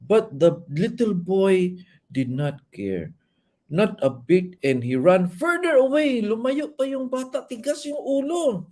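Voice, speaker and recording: A man's voice: sits high, big pitch swings, a little slow, warm tone. A man with a Filipino accent reading a children's story and retelling it simply and informally, as if to young kids. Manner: explains and repeats simply, as if to children. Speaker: bedtime storyteller.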